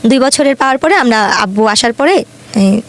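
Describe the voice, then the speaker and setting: A woman's voice: fairly high pitch, lively intonation, moderate pace. A woman in her twenties from Dhaka with a Bangladeshi accent, telling her life story in an earnest, colloquial way as an interview guest. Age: 20s.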